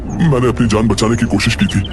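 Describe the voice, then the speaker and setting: A man's voice: on the low side, fairly even intonation, a little fast, close to an everyday voice. A man voicing a frightened young man who pleads anxiously, over background music. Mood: shaken.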